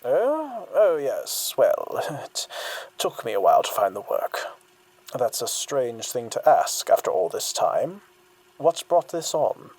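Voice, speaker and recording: A woman performs a soothing therapist who hides a sinister streak. The voice sits extremely low and husky, with lively swings of pitch. It coaxes and probes with false reassurance, in audio drama style. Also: slow pace, exaggerated voice, poor audio. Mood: breezy, prying. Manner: coaxing, falsely reassuring, probing. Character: outwardly soothing, secretly sinister therapist doctor.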